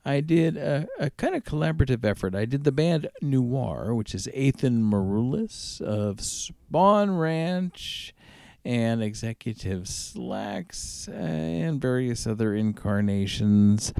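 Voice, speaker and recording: A man hosting a radio music show, back-announcing tracks in a halting way with drawn-out titles. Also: mid-range voice, lively intonation, vocal fry, darkish tone, dry recording.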